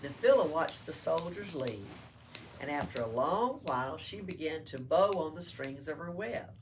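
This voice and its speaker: Lady reading a kids book out loud, low voice, sounds far from the mic. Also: animated, normal speed. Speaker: library read-aloud storyteller. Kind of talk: storybook reading aloud to children, with simple asides. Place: indoors in a library. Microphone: distant room mic. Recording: distant mic with faint background noise.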